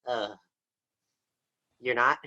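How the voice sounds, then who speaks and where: A man's voice: medium pitch, sharp tone, muffled recording, full character voice. A man voicing a scheming wolf villain who talks casually and hesitantly. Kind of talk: amateur radio play acting.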